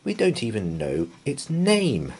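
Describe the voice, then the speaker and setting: A man's voice: medium pitch, huge pitch swings, slow pace, a little mellow, husky, voice pushed up, exaggerated voice. A man voicing a mild, courteous, slightly nervous married man in a children's storybook. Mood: hesitant.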